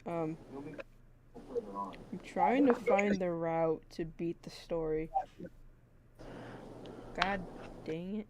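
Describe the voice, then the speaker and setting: A woman's voice: lowish voice, very slow, long pauses, mellow, slight background noise. A woman livestreaming a game with a friend, chatting casually in a pleading, mock-exasperated, giggly way. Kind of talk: casual chat while gaming.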